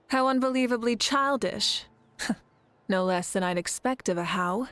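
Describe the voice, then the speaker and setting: A woman playing a calm, self-assured leader who speaks in an unhurried, gently teasing way. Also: on the high side, no echo.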